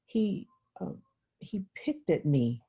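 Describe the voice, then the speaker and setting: A woman plays an upset young girl in an audiobook story, confiding in plain childlike words. The voice is soft and very mellow with a husky edge, reluctant and easily exasperated. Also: on the low side, very animated, slow pace, highs cut off, voice pushed up, heavily acted. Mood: reluctant, tearful. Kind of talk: literary audiobook dialogue.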